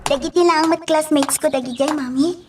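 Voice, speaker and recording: An actress with a Filipino accent playing an earnest, easily flustered young graduate in a radio drama. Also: female voice, high voice, moderate pace, noisy background, lightly acted. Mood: cheerful. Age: young adult. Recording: loud music bed under the voice.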